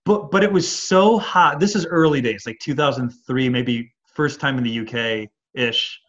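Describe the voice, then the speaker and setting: A man's voice: mid-range voice, unhurried, fairly bright tone. A man with a Michigan accent and a slightly nasal voice talks through old band stories with loose, rambling fillers. His voice sits mid-range. The sound is dull and lo-fi, like a remote call. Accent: Midwestern US, Michigan. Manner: rambling, storytelling, many fillers. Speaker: band singer and guitarist, guest. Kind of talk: casual interview chat.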